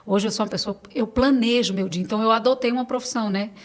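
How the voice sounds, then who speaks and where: A Brazilian woman with a slightly high voice speaks as a guest in a casual conversation. She talks in a personal, informal way and often repeats phrases to stress a point. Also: quick pace. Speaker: podcast guest, business owner.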